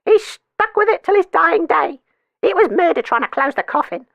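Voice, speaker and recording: Man's falsetto grumpy lady commentator. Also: big pitch swings, normal speed, gravelly, no echo, muffled recording, heavily acted. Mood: dismissive. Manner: dismissive, tutting. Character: grumpy woman, old-fashioned social commentator.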